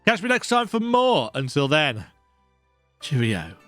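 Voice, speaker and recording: Lively, animated man commentating on a game. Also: fairly high pitch, measured pace. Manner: chatty, self-deprecating. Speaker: let's play host. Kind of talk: casual gameplay commentary. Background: video game music.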